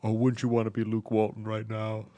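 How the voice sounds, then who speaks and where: A man's voice: lowish voice, completely flat delivery, fairly quick, mellow, no room sound. A man joking around in a casual podcast chat. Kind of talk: casual banter.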